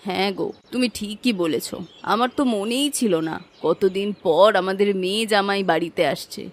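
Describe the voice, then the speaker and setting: A woman voicing a caring, gently scolding village housewife in a cartoon fairy tale, homely and coaxing. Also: mid-range voice, moderate pace, lightly acted. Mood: affectionate.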